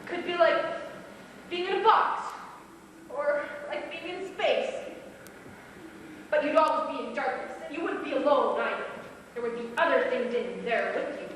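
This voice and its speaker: An actress playing a forceful, menacing woman in an amateur stage play, speaking in an insistent, emphatic, commanding way. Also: high voice, normal speed, long pauses. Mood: cold, menacing. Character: forceful, menacing woman pressuring her fearful housemate. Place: small theatre stage. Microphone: distant recorder in the hall. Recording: distant, echoey theatre hall, faint noise.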